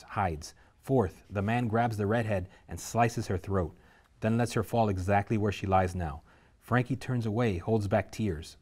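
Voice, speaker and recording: Low-voiced man reading stage directions. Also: a little mellow. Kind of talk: script table reading.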